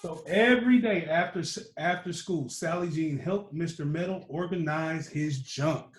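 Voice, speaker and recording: A man with a slightly high voice reads a children's picture book aloud. He tells it in an animated, emphatic way. The room gives his voice a noticeable echo. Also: unhurried. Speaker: storytime reader. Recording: roomy echo.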